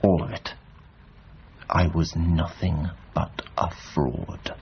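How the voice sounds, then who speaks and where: An actor in a radio drama playing a weary, disillusioned middle-aged former adventurer, bitter at himself, halting and brooding. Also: male voice, sits low, steady tone. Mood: confessional. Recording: dull, slightly noisy radio recording.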